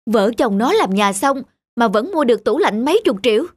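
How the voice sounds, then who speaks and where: Sharp-tongued, high-voiced housewife. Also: female voice, sing-song, brisk, slightly rough, no room sound, clean studio sound. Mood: astonished. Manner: sarcastic, complaining.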